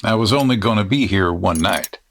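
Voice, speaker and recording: A man playing a calm, courteous stranger in an old west comedy audio drama. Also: on the low side, a little fast, slight background noise. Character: polite, calm mysterious male stranger.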